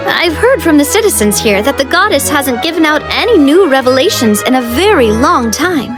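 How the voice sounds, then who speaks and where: A woman's voice: high voice, sing-song, moderate pace. A woman playing a confident, upbeat young female leader in a video game, breezy and self-assured in her delivery. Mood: matter-of-fact. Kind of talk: animated game dialogue. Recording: music playing behind the voice. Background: game music.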